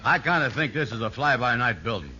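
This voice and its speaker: An actor playing a slow-witted, doubtful sidekick with folksy grammar in a 1950s radio comedy. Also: male voice, medium pitch, lively intonation, heavy background noise, close to an everyday voice. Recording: muffled old radio recording.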